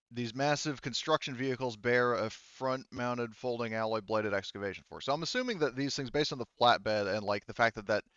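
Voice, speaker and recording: A man's voice: mid-range voice, fairly bright tone, clean tone, dry recording, lo-fi sound. A man acting as game master, explaining tabletop rules conversationally in a rambling way.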